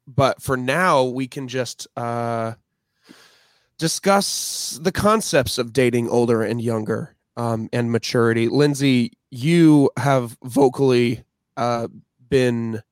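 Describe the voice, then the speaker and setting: A man's voice: medium pitch, clear, resonant tone, dry recording. Casual 20s man podcast co-host, hesitant, restarts a lot, dry close sound. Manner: halting, restarts, drawn-out phrasing. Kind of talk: casual chat.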